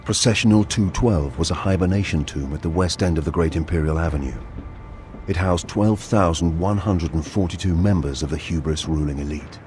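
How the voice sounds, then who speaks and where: An actor playing a cold, iron-willed inquisitor in a video game, speaking in a grave, measured, deliberate way. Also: male voice, deep voice, darkish tone, soft background music, lightly acted. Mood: grave, brooding. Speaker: protagonist and narrator. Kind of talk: scripted dramatic narration in a video game.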